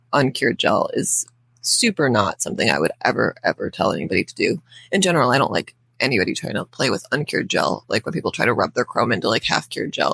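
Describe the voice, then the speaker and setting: Crackly, creaky-voiced woman chatting through a tutorial. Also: a bass voice, fairly bright tone, no room sound. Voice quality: crackly, raspy. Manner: chatty, informal, rambling asides. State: crackly voice from being under the weather. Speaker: nail art tutorial host. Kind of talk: casual voice-over walkthrough.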